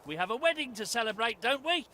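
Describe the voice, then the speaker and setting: A man's voice: very high voice, sing-song, fast, sharp tone, heavily acted. A man playing a kindly, hesitant middle-aged wizard father in a video game. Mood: gentle, apologetic.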